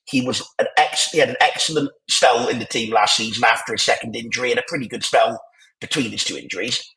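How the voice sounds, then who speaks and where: A croaky, tired-sounding man talks through his opinions in a rambling, restart-heavy way, his voice at an ordinary middle pitch. He speaks into earbuds over a video call, so the sound is thin and echoey. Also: fast talker, sharp tone. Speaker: podcast panelist. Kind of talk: casual podcast discussion. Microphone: AirPods on a video call. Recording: echoey, poor sound quality.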